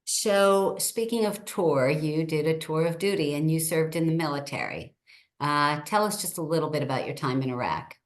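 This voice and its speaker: A woman's voice: low voice, lively intonation, moderate pace. A middle-aged woman hosting a short interview over a video call, introducing her guest and asking questions in an upbeat, enthusiastic way. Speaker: host, state senator.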